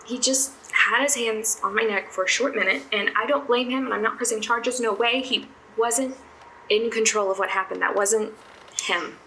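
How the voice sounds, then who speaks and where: A woman playing an anxious, self-doubting young psychiatry intern in an audio drama, halting and flustered as she speaks. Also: on the high side, pushed and bright, a little hiss or hum, low-quality recording. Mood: dejected, self-critical. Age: young adult. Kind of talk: naturalistic audio drama acting.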